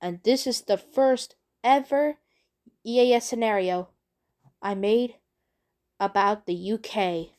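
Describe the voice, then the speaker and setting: A woman talking casually and unscripted as a video creator, in a halting, rambling way with repeated phrases. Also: medium pitch, a little mellow. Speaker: video creator introducing own work. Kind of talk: casual unscripted vlog talk.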